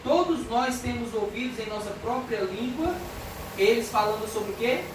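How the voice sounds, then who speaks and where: A woman's voice: a little high, animated, moderate pace. A woman with a Brazilian Portuguese accent preaching an expository sermon, earnest and emphatic, reading scripture aloud. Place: church hall. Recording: echoey church hall from far off, background noise.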